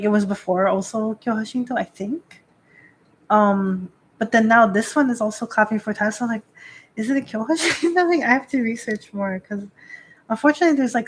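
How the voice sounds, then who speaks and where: A woman's voice: medium pitch, slight background noise, low-quality recording. A woman priestess hosting a casual live stream, rambling with many fillers and frequent small laughs. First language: not Japanese.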